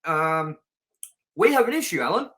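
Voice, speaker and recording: A man with a somewhat higher-pitched voice hosts a film review show. He talks casually and off the cuff, addressing listeners directly in an informal, chatty way. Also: very animated, moderate pace, a bit bright. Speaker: co-host of a movie review show. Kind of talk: casual unscripted chat and show sign-off.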